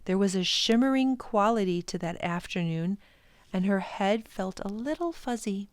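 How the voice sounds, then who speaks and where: A woman reading a children's story as narrator, expressively, with dramatic pauses and hushed suspense. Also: mid-range voice, expressive, clear, resonant tone, no echo. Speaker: children's library storytime reader.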